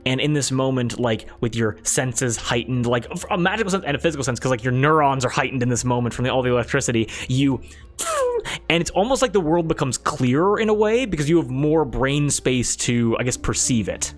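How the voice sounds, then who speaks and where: A man's voice: medium pitch, animated, fairly quick. A man improvising narration as game master of a tabletop game, often repeating and rephrasing as he goes. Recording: background music under the voice. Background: music.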